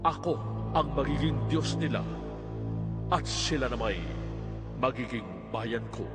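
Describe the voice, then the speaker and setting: A man's voice: medium pitch, a little slow, lightly acted. A man playing God in a dramatized scripture reading, solemn, commanding and declarative. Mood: solemn promise. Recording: long hall reverb, loud music underneath. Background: loud music.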